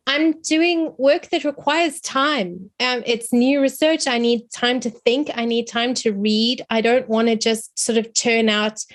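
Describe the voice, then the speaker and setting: A woman with a South African accent, her voice a little hoarse and pitched rather high. She works through her question in an online seminar, thinking aloud with frequent restarts and small apologies. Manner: searching, restarts often, apologetic. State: hoarse, recovering from illness. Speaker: seminar audience member asking a question. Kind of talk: thoughtful academic question. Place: online seminar, video call.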